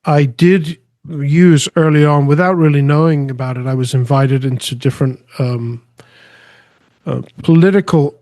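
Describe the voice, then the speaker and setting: A man's voice: fairly high pitch, fairly even intonation, warm tone, no room sound. A middle-aged man from England who grew up in Spain, a guest answering interview questions earnestly, often restarting his sentences. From England, raised in Spain. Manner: earnest, halting, with frequent restarts. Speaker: artist and guest.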